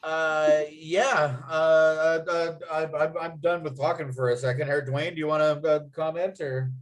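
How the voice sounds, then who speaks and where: Halting man in casual group discussion. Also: a little high, flat delivery. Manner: halting, hedging, thinking aloud. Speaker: writer leading a feedback talk after a script read.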